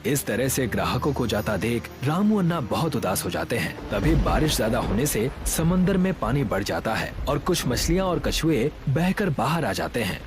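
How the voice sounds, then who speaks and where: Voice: mid-range voice, sing-song, moderate pace, few pauses. A narrator reading a scripted moral story for children. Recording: music behind the voice.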